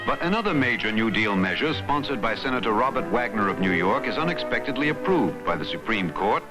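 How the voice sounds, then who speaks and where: A man's voice: fairly low pitch, big pitch swings, brisk, low-quality recording. A man with an American accent reads scripted documentary narration in a dramatic, emphatic newsreel style. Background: background music.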